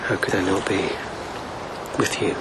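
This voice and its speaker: Radio drama: devoted lieutenant of old Japan, lowish voice, soft and measured, noticeable ambience behind. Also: male voice, fairly even intonation, heavy background noise. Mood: tender, wry. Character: gentle, wry, devoted police lieutenant of ancient Japan. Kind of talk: intimate radio drama acting.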